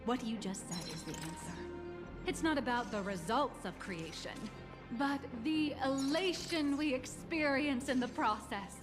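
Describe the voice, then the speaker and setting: High-pitched, showy woman guide. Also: lively intonation, unhurried, over music. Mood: earnest, inspired. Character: enthusiastic corporate guide woman promoting mecha model kits. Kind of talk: scripted video game character dialogue.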